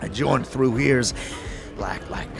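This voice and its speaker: A man playing a sickly old ex-warrior in a fantasy audio drama, with a gravelly wheeze, stammering, chuckling folksy slang. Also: medium pitch, slow. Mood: grumbling, amused. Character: sickly old ex-warrior tavern drinker, wry and grumbling. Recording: music under the voice.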